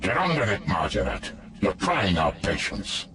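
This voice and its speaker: An actor playing a menacing, imperious, bloodthirsty dark god in a video game. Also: male voice, low voice, very animated, normal speed, noisy background. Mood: impatient. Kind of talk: video game cutscene acting.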